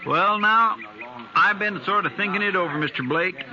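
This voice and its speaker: A man's voice: high-pitched, moderate pace, crowd noise. A man playing a guileless-sounding, sly deputy in a 1950s radio western, with a rural American accent and hesitant homespun delivery. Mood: folksy, sly. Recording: muffled, hissy old radio recording.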